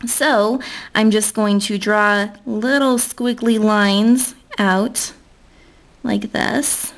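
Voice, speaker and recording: A woman's voice: medium pitch, steady tone, very slow. A young American woman giving a casual, chatty step-by-step tutorial in an informal way. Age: young adult. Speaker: nail art tutorial host.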